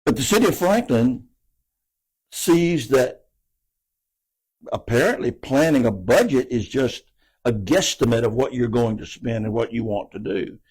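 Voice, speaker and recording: A man's voice: mid-range voice, animated, a little slow, long pauses, a little mellow, slightly rough, poor audio. A man, answering interview questions in an earnest, folksy way and often repeating words as he goes. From American South. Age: 80s. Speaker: city council candidate.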